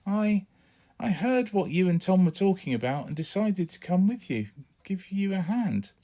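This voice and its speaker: A man's voice: sits high, very animated, moderate pace, dark tone, voice pushed up. A man voicing an earnest, timid young boy with a hesitant, pleading manner in a children's audiobook.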